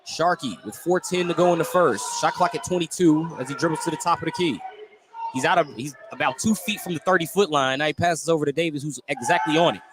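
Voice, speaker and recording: A man's voice: a little high, quick pace. A man doing live basketball play-by-play for radio, describing the action in running detail and correcting himself as he goes. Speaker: play-by-play sports commentator. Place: indoor basketball gym. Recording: noisy live gym ambience.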